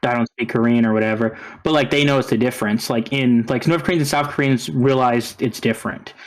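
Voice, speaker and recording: A man chatting as a podcast co-host, rambling loosely and hedging with plenty of fillers. His voice sits in an ordinary middle pitch. He sounds easygoing and unhurried. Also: fairly even intonation. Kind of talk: casual chat.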